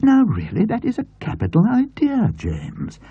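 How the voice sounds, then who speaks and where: A man voicing a gushing, imperious older English gentlewoman, an effusive aunt in an audiobook story. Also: high-pitched, huge pitch swings, normal speed, husky, no room sound, narrow, tinny audio, voice pushed up, exaggerated voice. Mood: delighted, bossy. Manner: effusive, emphatic. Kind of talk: audiobook character voice.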